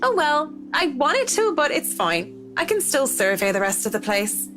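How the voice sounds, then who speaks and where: An actress playing a courteous, earnest young interior designer in a visual novel game. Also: female voice, sits high, sing-song, normal speed, music in the background.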